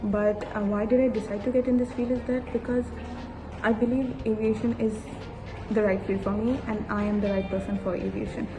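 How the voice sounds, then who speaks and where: A 21-year-old woman raised in Saudi Arabia, introducing herself as a guest in a casual interview, with hesitant pauses and restarts and some Urdu mixed in. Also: medium pitch, flat delivery, over music, rough recording. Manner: hesitant, many fillers and restarts, mixing in Urdu. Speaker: interview guest, aviation student.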